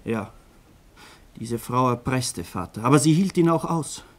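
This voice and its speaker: An actor playing a troubled, ashamed teenage son in a German radio crime drama, speaking earnestly and hesitantly, as if confessing. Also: male voice, medium pitch, lively intonation, unhurried, close to an everyday voice. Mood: bitter confession.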